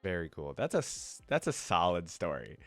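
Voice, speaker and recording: A man's voice: sits low, very animated, moderate pace, no echo, faint music behind. A man hosting a casual reaction video, thinking aloud in an informal way. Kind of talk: casual reaction commentary, some reading aloud.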